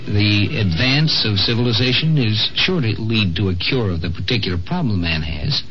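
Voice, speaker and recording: A lowish-voiced male host explains things on old-time radio. The sound is dull, with music clearly playing behind him. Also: lively intonation, normal speed. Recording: muffled old radio recording.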